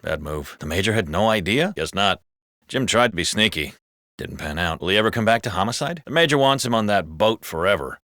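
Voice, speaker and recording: An actor playing a jaded, foul-mouthed, sardonic police officer in a video game. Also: male voice, lowish voice, animated, brisk, a bit bright, no echo, studio quality. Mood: wry, gossipy. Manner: gossipy, sardonic, swearing. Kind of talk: scripted video game voice acting.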